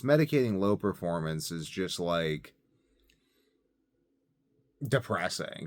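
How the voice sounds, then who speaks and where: Male vlogger, very deep voice, rambling and informal, close dry phone recording. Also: fairly bright tone, no room sound. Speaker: self-experiment health vlogger and parent. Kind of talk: casual spoken video diary. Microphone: phone set up close by.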